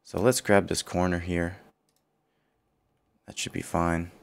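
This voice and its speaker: Deep, gravelly male tutor with a worn-out voice, calm self-correcting software walkthrough. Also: slow pace. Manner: thinking aloud, self-correcting. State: partly lost voice, low and rough. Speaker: CAD tutorial instructor. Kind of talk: screen-recorded software walkthrough.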